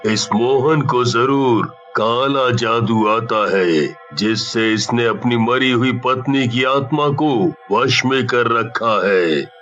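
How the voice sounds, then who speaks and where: A man voicing a stern old holy hermit in a cartoon, grave and deliberate, in a slightly dull recording. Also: mid-range voice, fairly bright tone, faint music behind. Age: older.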